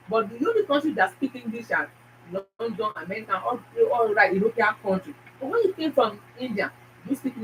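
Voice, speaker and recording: Nigerian woman vlogging, fast and emphatic, says things twice, mic kinda far off. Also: fairly high pitch, a bit bright, a little hiss or hum. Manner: emphatic, repeats phrases, fast-talking. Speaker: YouTube channel host. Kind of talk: casual motivational talk to viewers.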